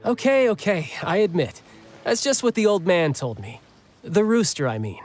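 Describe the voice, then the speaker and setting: A man's voice: sits high, big pitch swings, moderate pace. A breezy young warrior in a video game. He talks casually with a cocky, teasing edge and a protective streak, with music underneath. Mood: probing, evasive.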